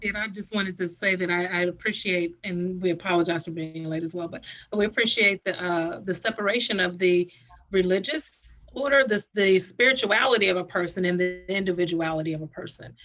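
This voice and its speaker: A woman's voice: lowish voice, a little fast, a bit bright. Woman on a muffled video call. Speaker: symposium participant. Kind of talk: informal discussion comment. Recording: dull lo-fi video call audio.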